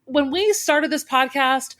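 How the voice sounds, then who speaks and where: A woman's voice: high voice, slow pace, a bit bright. A woman co-hosting a teaching podcast, friendly and encouraging, often restarting her sentences. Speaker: podcast co-host. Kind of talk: casual chat.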